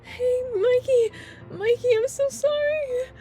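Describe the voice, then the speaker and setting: A woman playing a scared, earnest little android girl in a radio drama, with a childlike, pleading, halting way of talking. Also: extremely high pitch, warm tone, faint music behind. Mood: tearful, pleading.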